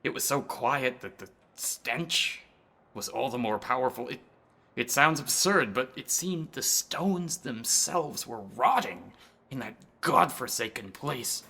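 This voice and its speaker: Horror radio play: timid scholar, formal and nervy, creaky mid-range voice. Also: male voice, medium pitch, bright, forceful tone, full character voice. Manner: nervous, formal old-fashioned speech. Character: Carter, timid anxious scholar haunted by a graveyard horror. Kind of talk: horror radio drama acting.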